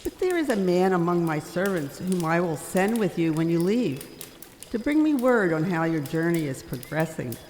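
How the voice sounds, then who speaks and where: An ailing, well-to-do sage voiced by a woman speaks in a lowish voice with lively intonation. It is a helpful figure in a dramatic reading, echoing in a hall. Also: normal speed. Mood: apologetic, helpful. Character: ailing but helpful wealthy Magus. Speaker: amateur church reader. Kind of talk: dramatic reading of a Christmas story. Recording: echoey hall reverb with background noise.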